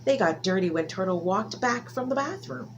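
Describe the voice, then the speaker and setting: A woman telling a folktale to children in an expressive style, stretching key words for dramatic emphasis. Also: medium pitch, normal speed, lo-fi sound, a little hiss or hum. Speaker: children's storyteller.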